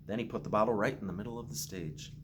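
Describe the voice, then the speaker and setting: A man who is a school principal reads a picture book aloud to children with expressive, simple storytelling phrasing. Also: on the low side, quick pace, a little hiss or hum. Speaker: school principal reading to pupils.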